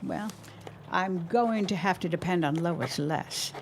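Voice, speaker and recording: Woman acting a low-voiced, tender period-drama mother. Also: slight background noise. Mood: fond, chatty. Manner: tender, measured, earnest, slightly formal period diction. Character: devoted middle-aged widowed shopkeeper mother, wistful, proud. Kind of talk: audio drama monologue, reading a letter aloud.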